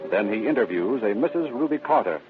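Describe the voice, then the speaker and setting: A man's voice: lowish voice, lively intonation, fairly quick. A man narrating an old-time radio drama in a scripted, dramatic style. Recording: thin narrow-band vintage radio recording. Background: music.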